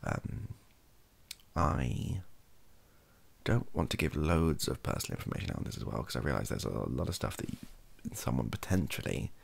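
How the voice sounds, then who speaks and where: A young British man, softly spoken, rambling hesitantly through an opinion monologue in a gravelly, husky voice and often trailing off. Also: a bass voice, very animated, normal speed. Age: young adult. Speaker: ASMR YouTuber. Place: small home room, indoors.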